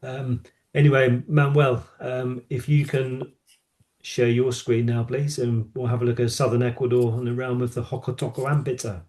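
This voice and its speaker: A man's voice: medium pitch, flat delivery, moderate pace, darkish tone. A man hosting an online travel talk in a casual, polite, slightly hesitant conversational manner. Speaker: host of online travel roadshow. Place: indoors on a video call.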